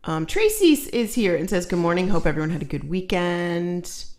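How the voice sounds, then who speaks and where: Woman, lowish pitch, live stream co-host, reading comments aloud, casual, blunt banter. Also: lively intonation, moderate pace, darkish tone. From United States.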